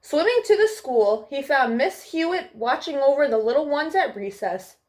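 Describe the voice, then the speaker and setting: A woman hosting children's storytime, expressive and affectionate, speaking simply as she would to young listeners. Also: high voice, normal speed. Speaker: storytime host reading to children. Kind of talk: animated children's storybook reading and friendly address.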